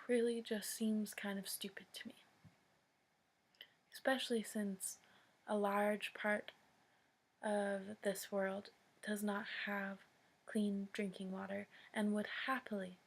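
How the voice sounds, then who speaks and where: American woman doing a serious public address, talks real slow, mid pitch, lots of long pauses, very earnest. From United States. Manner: solemn, deliberate, with long pauses between short phrases.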